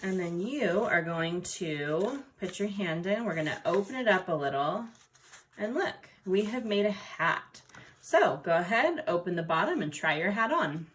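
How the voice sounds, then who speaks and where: A woman's voice: fairly low pitch, expressive, measured pace, a bit bright. A woman art teacher talking children through a craft step by step in an informal way. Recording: noticeable background noise.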